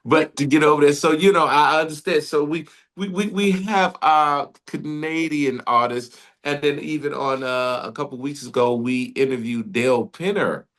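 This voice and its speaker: A man's voice: a little high, steady tone, moderate pace, few pauses, a bit bright. Enthusiastic man hosting an interview. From Detroit, Michigan. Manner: enthusiastic, rambling, laughs often. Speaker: radio interview host. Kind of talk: casual interview chat.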